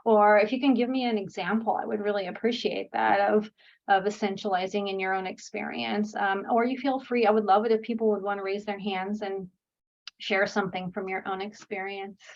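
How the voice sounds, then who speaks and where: A woman's voice: mid-range voice, fairly even intonation, a little fast, gravelly, dull audio. A woman presenting a workshop over a video call, encouraging and thankful, with frequent "um"s. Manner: warm, encouraging, many "um"s. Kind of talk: online workshop facilitation.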